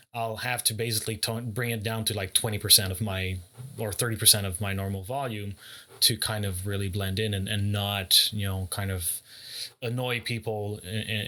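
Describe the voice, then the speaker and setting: A man with a booming voice giving a casual explanatory talk as a martial arts vlogger, thoughtful, with many pauses and self-corrections. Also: level tone, bright, forceful tone.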